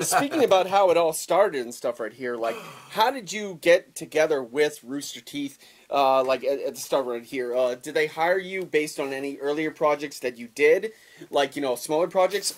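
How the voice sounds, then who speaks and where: Eager man interviewing, slightly high voice. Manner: eager, repeats himself, many fillers. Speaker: podcast host interviewer. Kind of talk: casual interview. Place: a recording studio with the guest.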